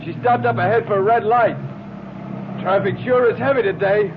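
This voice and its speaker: A man playing a deferential working-class cab driver in radio drama. His voice is high and nervous, full of folksy slang. It comes through a thin, clearly noisy old recording. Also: normal speed, natural voice. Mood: eager, focused. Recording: noisy narrow-band old radio, car sound.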